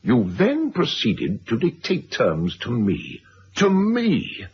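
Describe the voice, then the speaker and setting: An actor playing a domineering, contemptuous rich husband in a vintage radio drama, haughty and threatening. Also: male voice, mid-range voice, huge pitch swings, takes it slowly. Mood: furious, scornful. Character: domineering, wealthy, contemptuous husband and business magnate. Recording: dull, faintly noisy old radio recording.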